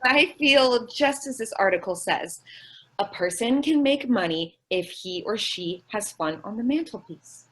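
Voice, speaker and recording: A woman's voice: on the high side, lively intonation, measured pace, slightly rough, poor audio. A woman playing a naive, scatterbrained and stubbornly optimistic young woman in an old-time radio comedy. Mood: earnest.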